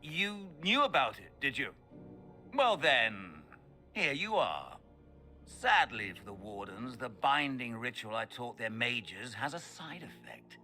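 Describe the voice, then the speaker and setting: A man playing a pompous, gloating mage villain of a dark cult in a fantasy game. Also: mid-range voice, big pitch swings, slow, soft background music, exaggerated voice. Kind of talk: fantasy game dialogue acting.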